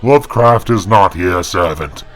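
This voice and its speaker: A man voicing a wrathful, commanding angel in an audio drama, with a metallic, inhuman, gravelly voice and solemn, archaic prophetic delivery. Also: low-pitched, a little slow, soft background music. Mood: commanding, imperious. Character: wrathful, commanding male angel possessing a man's body. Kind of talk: creepypasta-style audio drama.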